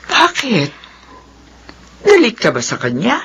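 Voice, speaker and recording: A woman's voice: on the high side, wildly swooping pitch, slow pace, fairly bright tone, slightly rough, exaggerated voice. A woman playing an elderly, tender, guilt-ridden grandmother in a Filipino radio drama. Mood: gentle, pleading.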